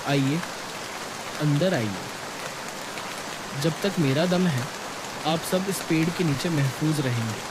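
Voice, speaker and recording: A man voicing a kind, humble young villager in a cartoon story, speaking in a respectful, warm-hearted way. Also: a little high, takes it slowly, long pauses, heavy background noise. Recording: loud rain sound effects behind. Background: rain.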